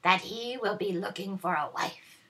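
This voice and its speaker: Woman acting a haughty stepmother, forceful and sharp. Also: mid-range voice, moderate pace, pitched above the performer's own voice, natural voice. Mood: smug. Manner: icy, sharp, commanding. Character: cold, haughty stepmother, cruel and imperious. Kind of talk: read-aloud children's storybook.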